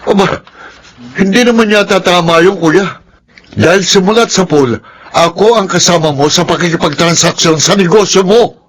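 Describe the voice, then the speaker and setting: Confrontational, aggrieved uncle, slightly high male pitch, insistent, radio drama. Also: normal speed, fairly bright tone. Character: pushy, aggrieved uncle demanding his share at the port. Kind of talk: Filipino radio drama acting.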